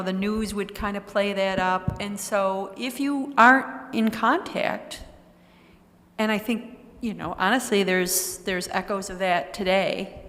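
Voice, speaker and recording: Older female participant, medium pitch, conversational thinking-aloud delivery, reverberant room sound. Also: a bit bright. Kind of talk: casual group discussion. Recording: echoey hall with long reverb.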